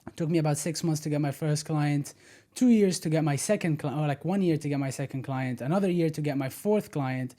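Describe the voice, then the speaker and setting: A man's voice: fairly high pitch, brisk, dark tone. A man in his twenties, born in the Netherlands and raised in Egypt, talking as a podcast guest. He explains his story in a confident, direct way, step by step. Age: 20s. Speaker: podcast guest, personal branding strategist. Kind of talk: casual interview conversation.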